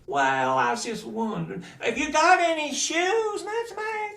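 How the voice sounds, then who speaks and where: A man voicing sneering young girl cousins in a folk tale, high and mocking. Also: big pitch swings, unhurried, creaky, a bit bright, exaggerated voice. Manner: mocking, shrill, laughing. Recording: roomy echo.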